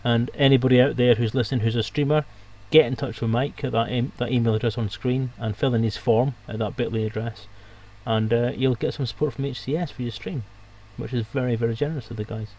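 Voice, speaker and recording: A man's voice: mid-range voice, steady tone, fast, dark tone, rough recording. A man hosting a livestream, chatting casually with his guests and viewers as he wraps up. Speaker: livestream host.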